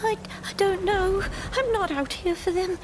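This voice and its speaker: An actress playing a frightened young village girl in a video game, childlike, halting and pleading. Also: fairly even intonation, noisy background. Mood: shaken. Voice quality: childlike, high voice. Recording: loud game music over voice.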